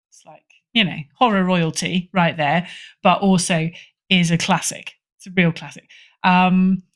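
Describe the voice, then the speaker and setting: A woman's voice: on the low side, fairly bright tone. A British woman chatting casually as a podcast guest, often correcting herself and repeating phrases for emphasis. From Britain. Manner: hesitant, self-correcting, emphatic repetition. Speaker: podcast guest, horror fiction editor. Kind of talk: casual interview chat, recommending stories.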